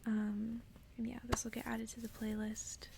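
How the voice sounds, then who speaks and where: A woman whispering close to the mic in a hushed, soothing ASMR style, as if reading and chatting someone to sleep. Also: on the high side, monotone, gravelly. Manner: hushed whispering, soothing slow repetition of phrases. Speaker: ASMR host and book reader. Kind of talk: whispered ASMR, casual chat and bedtime story reading. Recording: faint background noise.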